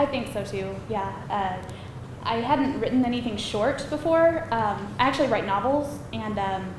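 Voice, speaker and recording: A woman writer giving casual, modest and somewhat hesitant answers on a panel. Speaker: screenwriter and novelist on a Q&A panel. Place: live film festival event room. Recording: echoey room with clear noise, rumble.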